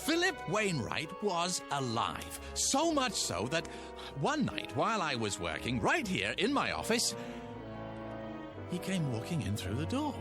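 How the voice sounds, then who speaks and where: An actor playing an excitable, earnest playwright husband in a radio drama, pleading and stammering with dramatic emphasis. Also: male voice, a little high, a little slow, music in the background. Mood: awed, insistent.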